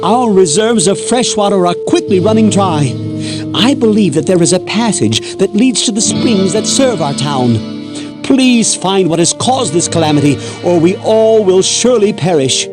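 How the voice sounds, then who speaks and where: A woman's voice: mid-range voice, expressive, normal speed, over music, natural voice. Woman as an earnest, worried villager. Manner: formal, earnest. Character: earnest, worried townswoman pleading for the hero's help. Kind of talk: video game character acting.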